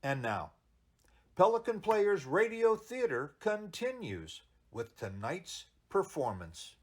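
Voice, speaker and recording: Slow, animated male theater announcer. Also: sits high, slight background noise. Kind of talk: scripted promotional announcement.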